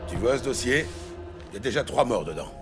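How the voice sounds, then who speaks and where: An actor playing a tough, blunt New York police detective in a French audio drama, pressing and insistent. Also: male voice, mid-range voice, big pitch swings, fast, loud music behind the voice, lightly acted. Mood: menacing, pressing. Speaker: police detective interrogating suspects. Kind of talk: dramatic audio series acting.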